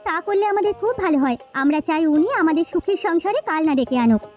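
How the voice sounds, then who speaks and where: A woman voicing a kindly, concerned village housewife in a cartoon folktale, earnest and expressive. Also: very high-pitched, fast, soft background music. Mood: earnest, hopeful. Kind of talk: Bengali cartoon folktale voice acting.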